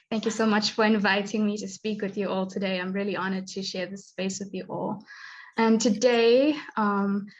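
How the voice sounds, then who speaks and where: Young woman doing a talk over a video call, mid pitch, sounds kinda dull and lo-fi. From born in Zimbabwe, raised in South Africa. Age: 20s. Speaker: guest speaker, graduate student. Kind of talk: prepared talk with slides.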